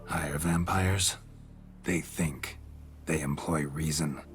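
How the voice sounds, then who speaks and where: Very deep, deadpan monster hunter. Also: male voice, takes it slowly, music in the background. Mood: dry, grave. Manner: clipped, deadpan, drops sentence openings. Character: stoic gruff male monster hunter, weary and matter-of-fact. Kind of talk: video game voice acting.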